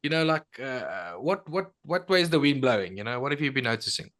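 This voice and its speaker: A man with a medium-pitched voice hosts a podcast interview. He is polite and conversational, feeling his way through questions with frequent hesitations and rewordings. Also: very animated, a little fast, fairly bright tone. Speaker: podcast co-host.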